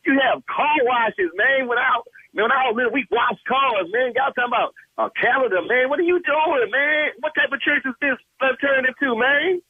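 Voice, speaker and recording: A man's voice: very high voice, moderate pace, hardly any pauses, breathy edge, no echo. An American man in a heated phone conversation, exasperated and peppering his talk with "man", over a tinny phone line. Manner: exasperated, repeatedly says "man". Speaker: prank call target. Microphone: telephone.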